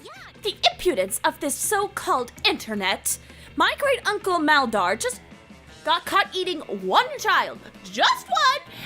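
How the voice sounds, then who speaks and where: A woman's voice: very high-pitched, bright, forceful tone, full character voice. A woman voicing a haughty, pampered young princess with prim, lofty royal diction, read from a game. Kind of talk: reading game dialogue aloud.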